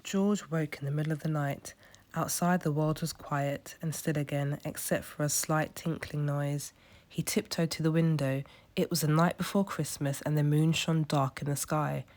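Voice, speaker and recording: A woman's voice: low voice, fairly even intonation, normal speed, a little mellow. A woman storyteller reading a children's picture book aloud as scripted narration.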